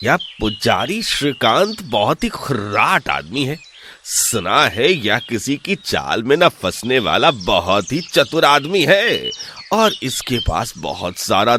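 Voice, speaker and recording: A man playing a sly, boastful village swindler in an animated folk tale, with a theatrical, smooth-talking delivery. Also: mid-range voice, a little hiss or hum, heavily acted.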